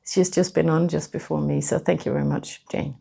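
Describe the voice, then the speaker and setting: A woman's voice: sits low, fairly even intonation, a little fast, vocal fry, warm tone. A Danish woman in her fifties with a Danish accent, hosting a knitting vlog in English, nervous and hesitant with many restarts. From Denmark. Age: 50s. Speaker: knitting podcaster. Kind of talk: casual vlog presentation.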